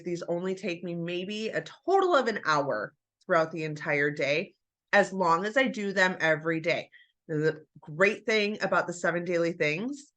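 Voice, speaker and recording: Bright-voiced woman with a stuffy nose. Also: lowish voice. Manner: chatty, encouraging, addresses viewers as you guys. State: stuffy nose after flu. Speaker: homemaking vlogger. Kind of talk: casual chat to camera.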